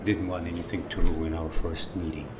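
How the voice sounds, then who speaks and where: A man's voice: deep voice, level tone, normal speed, background noise, close to an everyday voice. An actor playing a wounded, jealous older man in love in a film drama, earnest and pressing as he talks. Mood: earnest, pleading. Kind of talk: naturalistic film drama dialogue.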